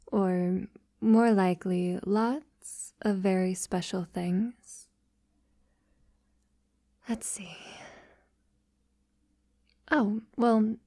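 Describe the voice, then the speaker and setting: Woman acting a soft, mellow vampire lover. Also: medium pitch, no room sound, studio quality, lightly acted. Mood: tender, adoring. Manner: soft, slow, breathy delivery slipping into whispers. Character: tender, devoted female vampire lover, refined and possessive. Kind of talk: ASMR romantic audio roleplay.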